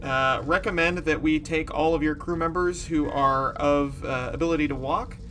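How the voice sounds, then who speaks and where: A man's voice: a little high, fairly even intonation, normal speed, pushed and bright, soft background music. A man playing a tabletop roleplaying game, speaking casually in character as a commanding officer. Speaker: player acting as a starship commander.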